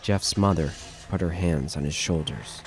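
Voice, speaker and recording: Deep-voiced man narrating horror, music underneath. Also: measured pace. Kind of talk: scripted horror story narration.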